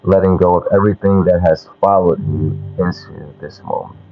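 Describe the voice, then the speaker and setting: A man narrating a guided meditation in a measured, soothing way, with long pauses between short phrases. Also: low-pitched, steady tone. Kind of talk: slow spoken guided meditation. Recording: dull lo-fi sound, music underneath. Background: meditation music.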